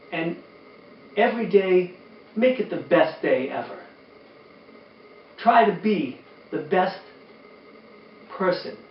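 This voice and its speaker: An older man hosting a children's storytime, speaking expressively to young listeners with drawn-out emphasis. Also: a little high. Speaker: children's storytime host and reader, former teacher. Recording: roomy, distant, dull sound with faint noise.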